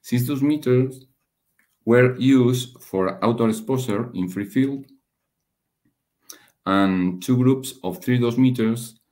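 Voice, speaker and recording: Slow, halting low-voiced male presenter. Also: darkish tone. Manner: halting, hesitant, frequent long hesitations and rephrasing. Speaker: webinar presenter, radiation dosimetry researcher. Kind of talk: technical slide presentation.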